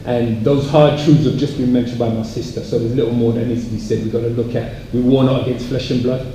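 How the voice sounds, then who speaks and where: A man putting a rhetorical question from the audience in a preacherly, deliberate way, repeating phrases. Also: mid-range voice, flat delivery, warm tone. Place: public event hall. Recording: echoey hall, faint background noise.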